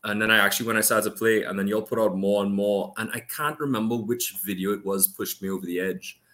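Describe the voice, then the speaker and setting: A man's voice: on the low side, steady tone, fast talker, pushed and bright. A middle-aged man from Trinidad and Tobago with a Trinidadian accent, a podcast guest chatting casually and rambling with many restarts. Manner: rambling, full of restarts and fillers.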